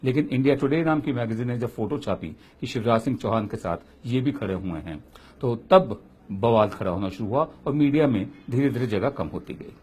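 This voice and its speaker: A man's voice: mid-range voice, fairly quick, darkish tone, breathy edge. A man giving opinion commentary as a political commentator, emphatic and full of rhetorical questions.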